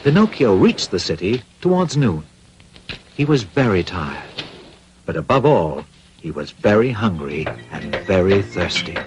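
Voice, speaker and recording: A man narrating a children's cartoon tale from a script, in a dramatic, measured storytelling manner. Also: deep voice, sing-song, over music.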